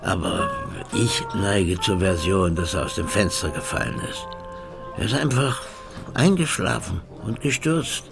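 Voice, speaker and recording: A man's voice: sits low, measured pace, vocal fry, over music. An actor playing a blunt, cynical local man who brushes things off with coarse words. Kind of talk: radio drama acting.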